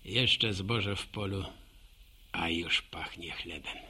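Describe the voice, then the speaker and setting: An actor playing a chatty, homespun village postman with a gravelly voice, rambling in a folksy monologue for a radio drama. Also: deep voice, slow, sharp tone, heavily acted. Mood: musing, proud. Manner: folksy, rambling, musing aloud to himself and listeners. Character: chatty, homespun old village postman, proud local gossip.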